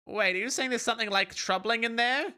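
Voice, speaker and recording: A man voicing an eager, curious young teenage boy who pushes with excited questions. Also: very high voice, fairly quick, pitched above the performer's own voice, exaggerated voice.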